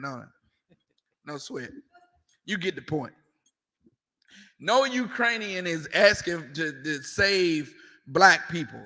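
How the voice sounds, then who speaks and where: A man's voice: fairly high pitch, bright, forceful tone, big-room sound. A man giving a confrontational sermon as a street preacher, emphatic and repetitive, with mocking asides.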